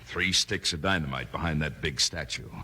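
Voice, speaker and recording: Deep, vengeful Western outlaw. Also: male voice, normal speed, sharp tone, full character voice. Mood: gloating, scheming. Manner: menacing, folksy frontier talk. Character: vengeful, scheming male outlaw ex-convict in a Western. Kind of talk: 1950s radio Western acting. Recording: noisy old radio recording.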